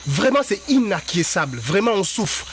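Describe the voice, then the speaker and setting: Indignant resident, high voice, faint hiss. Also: male voice, big pitch swings, normal speed. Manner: indignant, insistent. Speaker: local resident. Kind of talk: on-street interview. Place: outdoors by a river.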